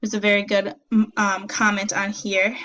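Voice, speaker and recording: Young woman getting over a cold, bit nasal, chatty upbeat feedback, lots of likes, pretty flat delivery. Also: medium pitch, normal speed, low-quality recording. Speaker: student giving peer feedback. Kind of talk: casual spoken review.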